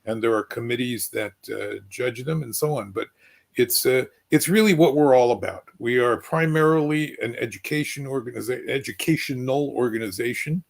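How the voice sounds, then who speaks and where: A man moderating an informal panel, speaking in halting, deliberate phrases. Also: medium pitch, gravelly.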